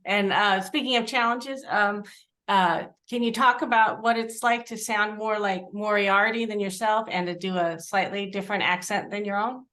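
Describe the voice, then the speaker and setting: A woman with a mid-pitched voice conducts an interview. She asks her questions casually, in informal phrasing. Her delivery is a bit hesitant as she feels her way into each question. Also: fairly even intonation, moderate pace, sharp tone.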